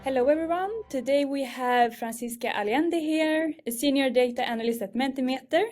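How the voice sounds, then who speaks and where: A woman hosts a podcast, reading a scripted opening before moving into interview questions. She speaks in a clear, measured way, and her voice sits a little high. Faint music plays behind her. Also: a little mellow. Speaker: podcast host.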